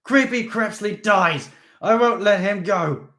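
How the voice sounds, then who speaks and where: A man's voice: a little high, moderate pace, darkish tone, pitched above the performer's own voice, natural voice. A man reading aloud, voicing an unhinged, vengeful young man who snarls and sneers.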